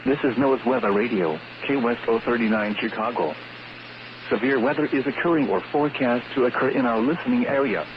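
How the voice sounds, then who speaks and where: A man reads a scripted emergency weather bulletin in a formal, measured, synthetic delivery. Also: mid-range voice. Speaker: weather radio announcer. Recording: dull, noisy weather radio broadcast.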